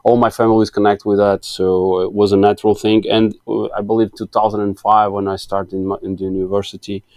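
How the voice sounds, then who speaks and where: A man's voice: fairly low pitch, little pitch movement, fast talker, few pauses, darkish tone, dry recording. A man in his thirties from Portugal with a Portuguese accent, a guest engineer in a casual interview, hesitant with many fillers and restarts. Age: 30s.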